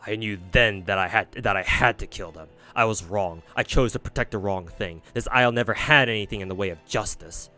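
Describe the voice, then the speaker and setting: A man voicing an anguished, self-loathing female fairy monster, speaking in a broken, halting way. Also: fairly low pitch, pushed and bright, faint music behind. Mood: bitter.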